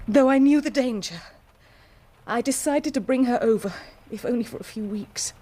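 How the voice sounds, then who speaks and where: An actress playing an anguished, dignified married woman confessing a secret, speaking formally and with deliberate passion in a period radio drama. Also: on the high side, dark tone, natural voice. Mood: anguished confession. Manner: formal, deliberate, impassioned, declamatory.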